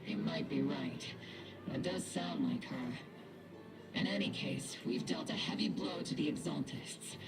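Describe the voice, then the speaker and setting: A woman voicing a stern, dutiful, weary but caring female army captain in a video game, with a low husky voice. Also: measured pace. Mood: measured, resigned. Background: game music.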